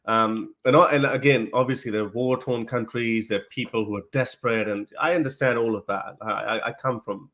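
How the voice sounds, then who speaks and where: Mid-pitched man from Pakistan, interviewing. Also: fairly even intonation, brisk, few pauses, fairly bright tone. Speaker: interviewer, host. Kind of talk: conversational interview questioning.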